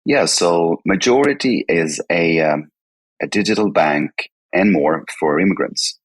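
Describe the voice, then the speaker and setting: A man's voice: low voice, a little slow, husky, no room sound. A man from northern Sweden with a Swedish accent, answering interview questions conversationally as a podcast guest, sometimes repeating words as he explains. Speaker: podcast guest, company chief executive.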